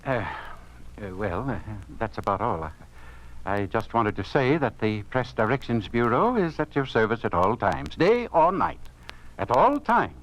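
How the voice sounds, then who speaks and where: Courteous official, swooping intonation. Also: male voice, medium pitch, very animated. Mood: formal, halting. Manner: repeats himself, halting politeness. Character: courteous, hesitant male government press bureau official, blandly ominous. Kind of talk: 1950s radio acting. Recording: noisy 1950s radio recording.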